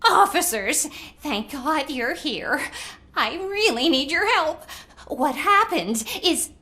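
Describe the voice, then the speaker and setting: High, pleading, anxious old lady. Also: lively intonation, slow, bright, forceful tone, full character voice. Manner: dramatic, pleading. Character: anxious, pleading elderly woman devoted to her cat. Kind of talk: video game voice acting.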